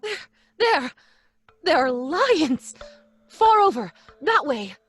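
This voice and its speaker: A frightened, pleading wife. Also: female voice, sits high, very animated, takes it slowly, a bit bright, exaggerated voice. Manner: uneasy, pleading, rising to panicked cries. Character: anxious adult wife Lydia, frightened mother. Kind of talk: live audio drama acting. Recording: live stage recording with faint music.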